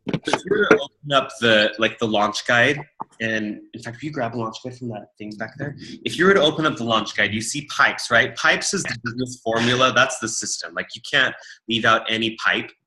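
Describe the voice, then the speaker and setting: A man with a big, loud voice, a team leader casually talking through a business training. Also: mid-range voice, a little fast, rough recording. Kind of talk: casual workshop talk.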